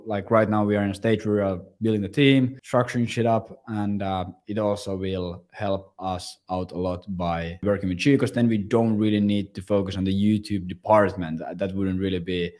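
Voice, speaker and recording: A young man from Finland with a Finnish accent, speaking English as a second language, giving a loose, casual interview about his business and swearing now and then. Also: lowish voice. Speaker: guest, agency owner.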